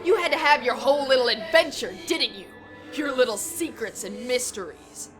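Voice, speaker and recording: A woman's voice: high-pitched, animated, normal speed, pitched above the performer's own voice. A woman voicing an evil mirror-self cyborg pony mare in a story reading, mocking and savage, ranting with profanity. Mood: furious. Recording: music bed behind the voice.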